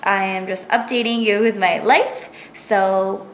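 A young woman in her twenties chatting to a handheld camera at arm's length, a little raspy from just waking, upbeat with many fillers. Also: mid-range voice, fairly even intonation, unhurried, a bit bright, slight background noise, low-quality recording. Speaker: vlogger. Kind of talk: casual vlog chat to camera. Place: apartment rooms, gym and car. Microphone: handheld fisheye action camera at arm's length.

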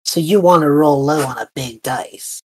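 Low-pitched woman playing a tabletop game. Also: normal speed, husky. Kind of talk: casual roleplay chat, describing a character's actions.

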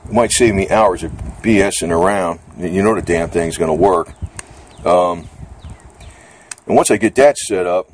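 A man's voice: sits low, a little slow, pauses often. A middle-aged man chatting casually and unscripted about gear, rambling in a plain-spoken, salty way. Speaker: vlogger reviewing gear. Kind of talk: casual, unscripted product chat. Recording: steady background noise.

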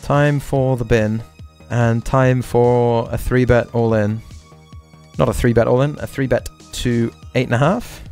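A man's voice: medium pitch, fairly even intonation, a little slow, a little mellow, soft background music. A man hosting a poker stream, talking through each hand aloud and repeating short catchphrases. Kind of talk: live poker stream commentary.

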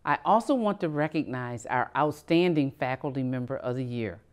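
A middle-aged woman reading a formal commencement address from a podium in a measured way. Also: extremely low pitch, animated, darkish tone. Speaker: college president giving commencement address. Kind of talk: formal prepared speech. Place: studio in front of a backdrop.

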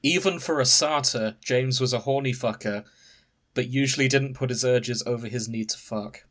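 A man's voice: medium pitch, moderate pace, a bit bright. A man podcast host casually recounting a story to friends in an amused, wry manner. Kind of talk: casual chat, recounting fan fiction.

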